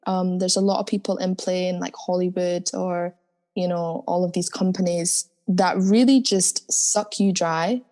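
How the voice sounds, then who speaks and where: A woman singer speaking in an interview in a deep, soulful voice, earnest and spiritual in tone. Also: fairly even intonation, unhurried, mellow. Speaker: guest singer. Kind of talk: reflective interview talk.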